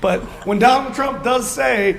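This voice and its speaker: A man in an animated panel conversation, speaking as a guest in an emphatic, declarative and joking way. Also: very high-pitched, a little slow, creaky, slight background noise. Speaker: interview guest.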